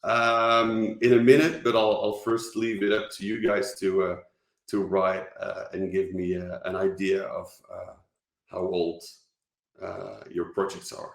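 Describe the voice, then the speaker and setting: A man with a Dutch accent gives an online conference talk in a fairly low voice. He stops and restarts often, filling gaps with ums. His voice carries the echo of the room around him. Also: flat delivery, gravelly. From Netherlands. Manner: halting, many fillers and restarts. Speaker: conference speaker.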